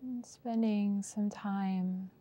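A woman guiding a meditation as its teacher, with unhurried, gentle prompts and long pauses. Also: medium pitch, fairly even intonation. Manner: slow, pausing, unhurried delivery with gentle prompts. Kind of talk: guided meditation.

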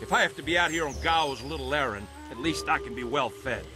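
A man's voice: mid-range voice, a little fast, lightly acted. A man playing a greedy, cruel soldier in a video game, grumbling and menacing. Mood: grumbling, callous. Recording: game dialogue under music.

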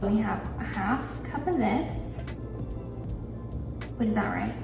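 Young woman, twenties, chatty and self-mocking, mid-pitch voice, roomy kitchen echo, mic set back from her, music playing underneath. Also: animated, slow, vocal fry, recorded from a distance. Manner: chatty, self-deprecating, talks while cooking. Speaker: lifestyle vlogger. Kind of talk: casual baking vlog chat. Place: home kitchen, indoors. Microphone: camera on the counter a few feet away. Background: background music.

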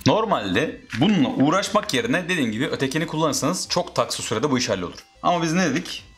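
A man's voice: on the high side, sing-song, fast, a bit bright, soft background music. A man narrating a casual step-by-step how-to as a DIY host.

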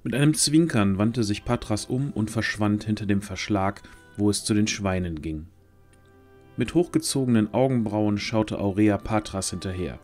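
A man's voice: fairly low pitch, normal speed, darkish tone, soft background music. A man narrating a scripted fantasy audio drama as storyteller.

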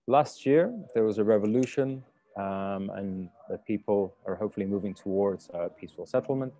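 A man's voice: on the low side, gravelly, mellow. A man who grew up in the UK, a cook giving a polite presentation on a video call, with many fillers and restarts. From United Kingdom. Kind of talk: webinar slide talk. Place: home room on a video call.

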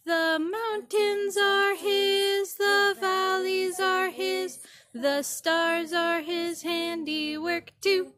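A woman leading children through worship action songs, cheerfully urging them to sing louder. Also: extremely high pitch, steady tone, drawn-out words, loud music behind the voice. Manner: encouraging, cheerful song leading.

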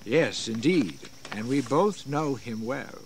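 A deferential colonial adviser with a mid-pitched voice and animated intonation. He is formal and polite, as in a history radio play, with faint noise behind. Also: slow. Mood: courteous concern. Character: deferential colonial gentleman counselling the governor. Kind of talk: historical audio drama acting. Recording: faintly noisy 1980s drama recording.

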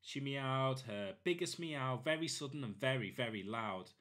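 A man narrating a children's storybook expressively, in short, deliberate phrases. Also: mid-range voice, animated, a bit bright. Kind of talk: expressive children's read-aloud.

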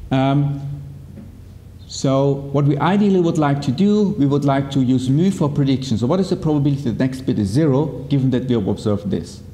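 A man's voice: lively intonation, a little fast, dark tone. A middle-aged man giving an explanatory technical lecture, semi-improvised, checking in with short tags like okay and yeah. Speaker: academic lecturer presenting a paper. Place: indoor lecture room with projector screen. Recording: reverberant lecture hall, rumbling background noise.

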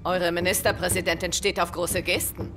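A woman's voice: fairly low pitch, level tone, normal speed, lightly acted. A woman voicing a stern village leader, game dub. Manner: stern, commanding. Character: tough woman leader of outsider village, middle-aged. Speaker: commander defending her village. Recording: game soundtrack music behind.

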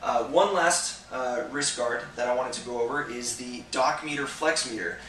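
A young man giving a casual, relaxed product review to camera for a store, filling pauses with lots of "uh" and "um". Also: medium pitch. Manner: relaxed, conversational, many "uh" and "um" fillers. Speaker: gear reviewer for a sports protection store. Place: indoor shop room with slat wall. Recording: echoey room, voice a bit distant.

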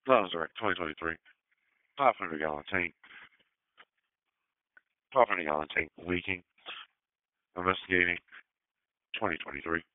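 A man's voice: deep voice, moderate pace, long pauses, smooth, no echo. A man reading out routine procedural fire traffic over the radio. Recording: muffled narrow-band fire radio.

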